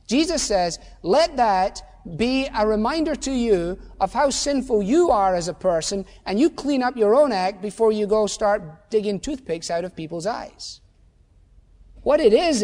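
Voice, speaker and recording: Emphatic high-voiced preacher in a reverberant hall. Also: male voice, a little mellow. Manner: emphatic preaching with rhetorical questions. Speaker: pastor. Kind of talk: sermon.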